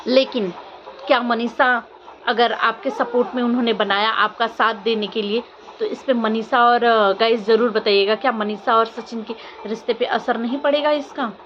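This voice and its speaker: High-pitched young woman vlogger, muffled audio. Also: fairly even intonation, normal speed, fairly bright tone. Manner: insistent, questioning, repeats phrases. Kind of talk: casual opinion commentary to viewers. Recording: muffled lo-fi audio, faint background noise.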